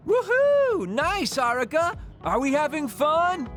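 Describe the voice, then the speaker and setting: Excitable young male soldier, anime game dub, dramatic, very animated pitch, music underneath. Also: slow, full character voice. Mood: exuberant. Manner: expressive, dramatic.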